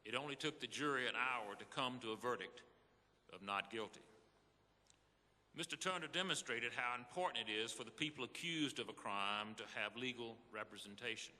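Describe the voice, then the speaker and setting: Earnest Supreme Court justice played by a man: emphatic stage reading, sharp projected tone, reverberant hall. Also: mid-range voice, normal speed. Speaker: reader in a readers theater re-enactment. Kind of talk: scripted dramatic reading to an audience. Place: large hall before an audience. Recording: echoey hall with long reverb.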